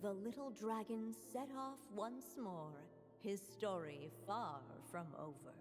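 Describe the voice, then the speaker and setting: A woman playing a grave storybook narrator in a fantasy video game, telling the tale in a solemn, deliberate manner. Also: medium pitch, close to an everyday voice. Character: grave, measured female storybook narrator of a dragon fable. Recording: long cavernous reverb, music underneath.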